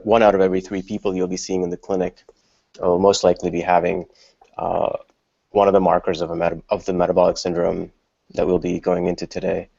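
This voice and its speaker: A man speaking as a guest on an online panel, measured and hesitant with many fillers. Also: low-pitched, gravelly, warm tone. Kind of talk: casual panel discussion. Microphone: video call. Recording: slightly muffled video-call audio.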